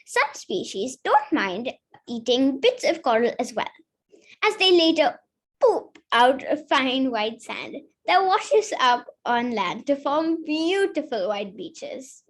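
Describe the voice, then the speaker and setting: A woman with a high-pitched voice reads a picture book aloud for children. Her intonation moves up and down in a lively way. She reads carefully and sometimes stumbles over a word. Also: slow. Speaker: story reader.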